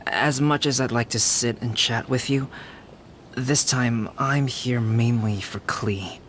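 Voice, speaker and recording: An actor playing a calm, courteous young scholar in a video game, speaking with measured, formal precision. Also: male voice, medium pitch, a little hiss or hum. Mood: polite, gentle. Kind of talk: video game character acting.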